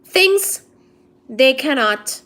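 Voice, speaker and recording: A young woman from India hosting a casual livestream, chatting with viewers and reading their comments aloud in a relaxed, low-energy mood. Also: drawn-out words. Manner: chatty, teasing, reads chat aloud and answers it. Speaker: livestream host. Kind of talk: casual live-stream chat with viewers.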